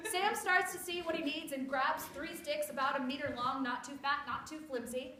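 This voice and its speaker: A woman reading scripted story narration aloud in an expressive, theatrical way. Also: high voice, moderate pace. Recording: echoey room with clear background noise.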